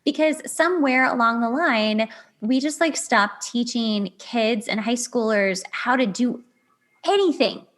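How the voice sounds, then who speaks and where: A woman's voice: on the high side, measured pace. A woman hosting a podcast in a casual, chatty way, gushing and exclaiming as she talks. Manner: gushing, exclamatory, animated reactions. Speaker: podcast host.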